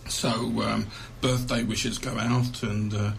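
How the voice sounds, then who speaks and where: Creaky-voiced man in an interview. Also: on the low side, little pitch movement, slow pace, slight background noise. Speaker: author being interviewed. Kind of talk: conversational interview.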